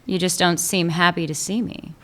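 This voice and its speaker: A woman's voice: on the low side, fairly even intonation, normal speed, close to an everyday voice. A woman playing a sly woman police chief who teases an old lover, in a radio play. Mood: sparring. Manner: teasing, needling, wry. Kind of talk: amateur radio drama acting.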